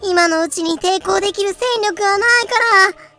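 A woman's voice: very high voice, fairly even intonation, normal speed, over music. A woman playing a panicky, girlish townswoman begging for rescue in an audio drama. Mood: panicked, shrieking. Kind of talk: fan-made audio drama acting.

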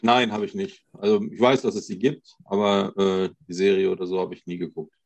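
A man's voice: low voice, flat delivery, a little fast, darkish tone. A man co-hosting a podcast, talking casually and conversationally as a fellow collector. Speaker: podcast co-host. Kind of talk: casual chat among collectors. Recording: dull, lo-fi voice connection.

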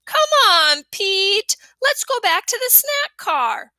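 A woman doing an excitable little boy. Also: very high voice, takes it slowly, dry recording, pitched above the performer's own voice, full character voice. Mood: excited. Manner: eager, wheedling.

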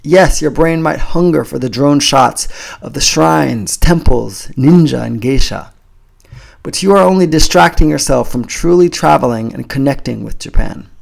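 A man from the United States with an American accent, giving an earnest, measured, scripted pitch for his community program. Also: gravelly. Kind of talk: scripted promotional talk to camera. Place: home in a Japanese coastal town.

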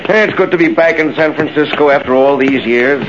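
An actor playing a smug, silky blackmailer with a suave, mock-friendly manner, in an old radio drama. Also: male voice, a little high, a little fast, over music, close to an everyday voice. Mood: smug, genial. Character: smug, silky male blackmailer posing as business partner. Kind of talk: 1940s radio drama acting. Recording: muffled, hissy old radio recording.

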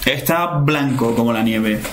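A man, narrating a tabletop role-playing game as game master in a calm, descriptive way. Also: mid-range voice, unhurried. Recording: echoey room with clear noise.

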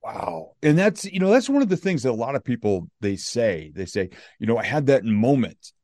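Upbeat, chatty American podcast host. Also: male voice, medium pitch, sing-song, moderate pace, warm tone, no echo, clean studio sound. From United States. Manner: enthusiastic, chatty. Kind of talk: upbeat interview hosting.

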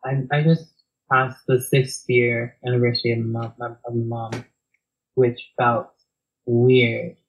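Soft guy opening up in an interview, lots of ums, sorta muffled recording. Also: medium pitch, level tone, unhurried. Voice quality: soft-spoken. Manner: reflective and hesitant, many fillers and restarts, soft laughs. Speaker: guest. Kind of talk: reflective interview conversation.

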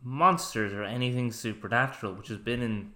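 Male podcast panelist, medium pitch, casual conversational delivery. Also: fairly quick, crowd noise. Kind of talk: casual chat.

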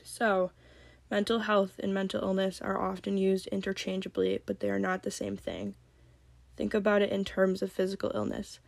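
A young woman with a slight cold hosting a solo podcast, talking calmly and gently. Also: medium pitch, moderate pace, creaky, no echo. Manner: calm, gentle, reflective. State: has a cold. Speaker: podcast host. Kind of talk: solo podcast talk on mental health.

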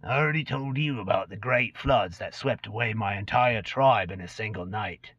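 A man's voice: on the low side, normal speed, breathy edge. A man voicing an ancient, gaunt, cursed goblin, a brooding and unrepentant former killer, in a dramatic reading of a fantasy tale. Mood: solemn, reminiscing. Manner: brooding storyteller recounting dark deeds. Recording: dull lo-fi recording in echoey room.

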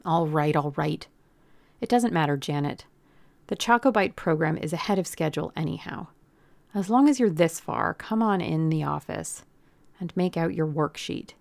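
Audiobook villain: gruff, bossy male executive played by a woman, irritable, gravelly, close dry sound. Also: sits low, animated, normal speed, a little mellow, no room sound. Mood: dismissive. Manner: irritable and dismissive. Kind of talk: audiobook character acting.

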